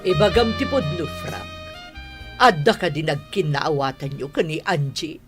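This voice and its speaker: A woman's voice: deep voice, animated, normal speed, faint music behind. An actress with a Filipino accent playing a stern, class-proud middle-aged mother in a radio drama, scolding and domineering. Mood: suspicious, mocking.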